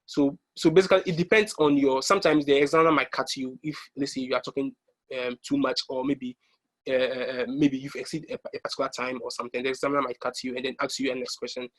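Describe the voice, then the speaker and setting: A young man from Ghana presenting an online exam-prep workshop over slides, pausing often with ums and restarting his sentences. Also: medium pitch, level tone, low-quality recording. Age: young adult. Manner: hesitant, many ums and restarts.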